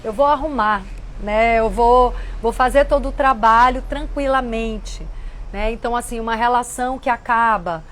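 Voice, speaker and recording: A woman, hosting a casual reflective talk and answering viewer questions in a warm, earnest way. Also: a little high, moderate pace. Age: 50s. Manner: warm, earnest, conversational with frequent "né".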